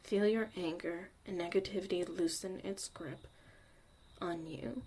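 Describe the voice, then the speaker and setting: A woman acting a gruff, guarded but secretly caring young mage mentor in a zombie apocalypse ASMR roleplay, soft-spoken and often near a whisper. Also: lowish voice, steady tone, unhurried, slight background noise, rough recording. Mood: calm, soothing.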